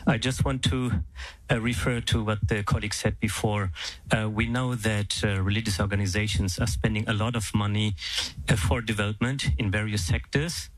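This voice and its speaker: A man's voice: on the low side, steady tone, normal speed. A man putting a formal, careful question from the audience at a town hall, with some hesitation. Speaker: audience questioner, organization official.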